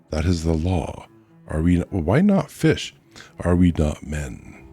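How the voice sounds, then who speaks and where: A man voicing a hunched male beast-man who slowly intones laws in a thick, sing-song voice for a storybook reading. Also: extremely low pitch, gravelly, mellow, breathy edge, full character voice. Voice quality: thick voice with whistling overtone. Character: hunched male beast-man chanting law, solemn, menacing.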